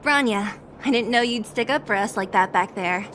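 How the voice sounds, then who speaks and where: A woman's voice: mid-range voice, moderate pace, a bit bright, a little hiss or hum, natural voice. An actress voicing a candid, easygoing young woman in a game, in a casual, frank manner. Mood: frank, friendly. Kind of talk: scripted game voice acting.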